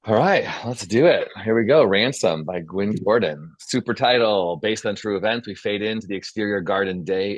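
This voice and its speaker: Male host and script narrator, deep voice, informal delivery, dry sound. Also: no room sound, low-quality recording. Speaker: host and stage-direction reader. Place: video call.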